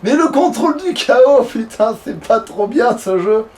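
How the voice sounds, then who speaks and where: A man's voice: very high voice, expressive, unhurried, a little mellow. A man chatting casually and playfully over gameplay, slangy and prone to swearing, as a let's play streamer.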